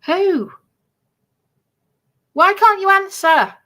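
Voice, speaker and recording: Bright-voiced woman hosting a casual stream. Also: very high-pitched, expressive, extremely slow. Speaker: live stream host. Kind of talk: casual live stream chat.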